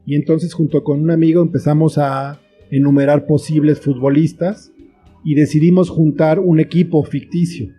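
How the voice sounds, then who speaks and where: Mellow, dark-voiced Mexican man. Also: a little high, fairly even intonation, moderate pace, faint music behind. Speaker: interview guest, writer and film teacher. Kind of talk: casual conversational interview.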